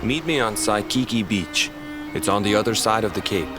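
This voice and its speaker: Man playing a low-voiced young island fisherman. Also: expressive, moderate pace, natural voice. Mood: terse, resolute. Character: young island fisherman, bitter and protective of his family. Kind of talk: video game cutscene acting. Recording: music behind the voice.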